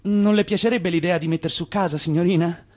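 A man's voice: high-pitched, steady tone, brisk, a little mellow. An actor playing an ardent, charming immigrant man with impulsive ideals in a radio play. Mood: tired, flirtatious. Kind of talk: radio play acting, shifting between narration and oratory.

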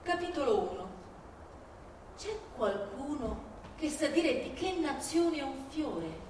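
A woman's voice: high voice, sing-song, slow, noisy background. A woman giving a theatrical literary reading as a stage performer. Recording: very echoey distant hall recording with music. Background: music.

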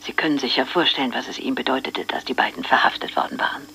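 An actress playing a middle-aged wife who recalls past events in a confiding way, in a radio drama with background noise. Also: female voice, very deep voice, fast talker, bright, forceful tone, slightly rough, whispered, heavily acted. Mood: recalling. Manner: confiding and reminiscent.

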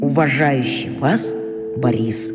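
A man's voice: medium pitch, lightly acted. An actor playing a shy, earnest schoolboy reading his letter in a children's radio drama, hesitant, polite and sincere. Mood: hopeful, timid. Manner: hesitant, polite, sincere, pausing between phrases. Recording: long reverb, music underneath. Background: music.

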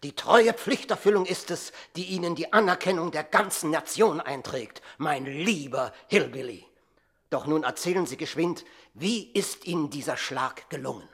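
An effusive district attorney from a 1960s German radio comedy. The voice is raspy and a little high. He is pompous and flattering, relishing formal phrases. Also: normal speed. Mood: gushing.

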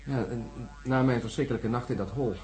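A man's voice: mid-range voice, fairly quick, darkish tone, faint music behind, close to an everyday voice. A man playing a sarcastic, grumbling astronaut stranded in the jungle in a Dutch science-fiction radio drama. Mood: agitated recounting. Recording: noisy radio play with insect ambience.

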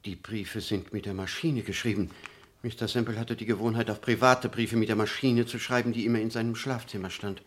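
Actor as sober, low-voiced lawyer. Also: male voice, fairly even intonation, close to an everyday voice. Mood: sober, concerned. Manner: measured, dry, explanatory. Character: sober, precise lawyer, matter-of-fact. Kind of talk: German radio play acting.

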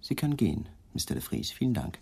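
A man's voice: low voice, sing-song, quick pace, vocal fry, darkish tone, husky, full character voice. A man acting in a 1980s German radio crime play as a persistent police detective, coolly polite and suspicious, putting pointed questions. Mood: probing, polite. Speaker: actor in a radio crime drama.

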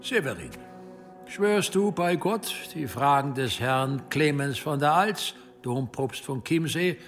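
Dignified, higher-voiced archbishop judge. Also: male voice, animated, slow, a bit bright, soft background music, heavily acted. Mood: measured. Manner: formal, judicious. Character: measured, dignified medieval archbishop presiding as judge. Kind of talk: radio play acting.

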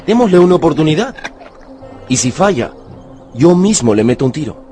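A principled miner in a radio play. His voice is fairly high, earnest and commanding yet compassionate, with faint music behind. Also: sing-song, moderate pace, mellow. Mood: compassionate, resolute. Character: principled, kind, firm male miner. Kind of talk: radio drama acting.

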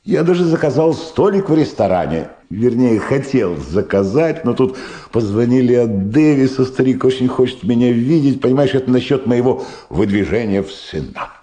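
A man's voice: medium pitch, animated, normal speed, warm tone, slight background noise, close to an everyday voice. An actor playing a busy, self-important middle-aged prosecutor and evasive father in a Soviet radio drama, with hesitant, blustering speech. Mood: blustering, apologetic.

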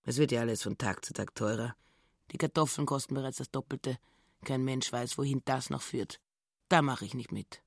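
An actress playing a grasping, indignant married housekeeper in a radio drama, complaining and scolding in folksy phrases. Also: female voice, a bass voice, moderate pace, vocal fry. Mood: fretful grumbling.

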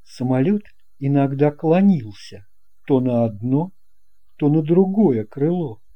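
A narrator reading a children's story with deliberate, measured phrasing. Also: mid-range voice, lively intonation, dull audio. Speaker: audiobook narrator. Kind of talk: scripted narration of a children's tale.

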